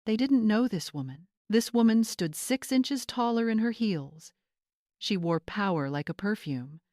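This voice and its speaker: Low-pitched woman narrating dramatic fiction. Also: darkish tone, no echo, studio quality. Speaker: story narrator.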